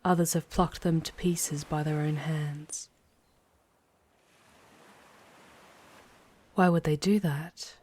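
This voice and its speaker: A woman playing a charming, poised female demon guide in an intimate ASMR roleplay, speaking in a measured way with breathy, hushed touches. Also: sits low. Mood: eerie, intimate. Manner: measured, deliberate, often dropping into breathy whispers.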